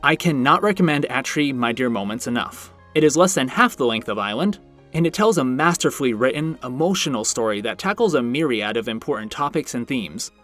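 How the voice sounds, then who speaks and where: A man's voice: medium pitch, a bit bright. A man hosting a review channel, narrating a scripted video in a conversational way with wry asides. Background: background music.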